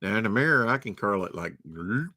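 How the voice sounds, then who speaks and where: A man's voice: on the low side, animated, fairly quick, pushed and bright, dry recording. A man hosting a late-night storytime, reading a storybook aloud and breaking off into rambling, sarcastic asides. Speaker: storytime host.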